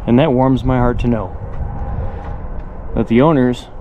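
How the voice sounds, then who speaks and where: A man's voice: fairly even intonation, a little slow, narrow, tinny audio. A man musing aloud in a reflective, casual way as he explores and comments on what he sees. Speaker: vlogger and photographer exploring abandoned places. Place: abandoned farmstead, mostly outdoors beside a highway. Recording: noisy outdoor field recording, highway nearby.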